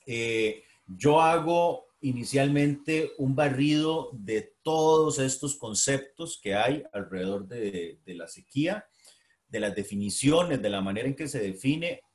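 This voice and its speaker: Costa rican guy lecturing, middle-aged, lots of ums and restarts, average pitch. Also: expressive, measured pace. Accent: Costa Rican Spanish. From Costa Rica. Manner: hesitant, many fillers and restarts. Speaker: university lecturer. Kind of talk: academic lecture.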